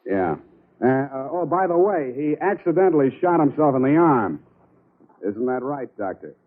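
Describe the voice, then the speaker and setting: An American actor playing a wry, tough, wisecracking private detective in 1940s radio drama style. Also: male voice, mid-range voice, sing-song, normal speed, narrow, tinny audio. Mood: dry, firm. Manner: wisecracking, sardonic. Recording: old band-limited radio broadcast.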